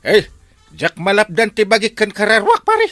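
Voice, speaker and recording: An excitable village captain with an Ilocano accent. His pitch leaps all over as he exclaims and sputters in comic radio-drama fashion. The sound is tight and dry. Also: normal speed, no room sound, soft background music, exaggerated voice. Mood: startled, blustering.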